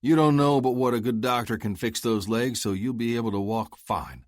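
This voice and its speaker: A man's voice: mid-range voice, normal speed, no echo, clean studio sound, voice pushed up, close to an everyday voice. A man voicing a cool bounty hunter who goads and taunts his foe. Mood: steady. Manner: taunting, goading, steady.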